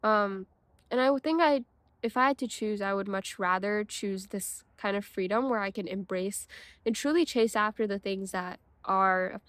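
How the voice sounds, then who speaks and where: A woman's voice: medium pitch, normal speed, fairly bright tone, dry recording. A teenage girl from China reflecting in a personal vlog, thoughtful and hesitant, with many fillers and restarts. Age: teens. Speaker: vlogger.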